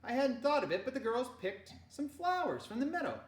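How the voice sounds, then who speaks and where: A brisk, teasing storybook mother, as a woman reader puts her on, fussing and playfully scolding. The voice is pitched up high and swings about, in an echoey room. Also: sing-song. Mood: matter-of-fact. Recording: roomy echo.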